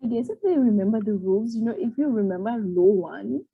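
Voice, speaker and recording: A woman co-hosting a maths lesson, chatty and quick to laugh. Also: mid-range voice, animated, slightly rough, no echo, narrow, tinny audio. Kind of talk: casual lesson banter.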